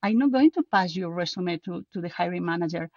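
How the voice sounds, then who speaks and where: A woman's voice: on the low side, animated, fast talker, a little mellow, no room sound, dull audio. A woman from Spain with a strong Spanish accent, speaking English as a second language, passionate and casual as an interview guest. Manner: passionate, with restarts and non-native grammar. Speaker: guest, co-author.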